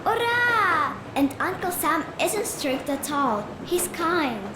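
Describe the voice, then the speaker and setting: A woman's voice: very high voice, sing-song, takes it slowly, exaggerated voice. A woman playing an eager, sweet little child in a game, with a childlike manner. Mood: excited. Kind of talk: game cutscene dialogue. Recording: echoey, noisy ambience.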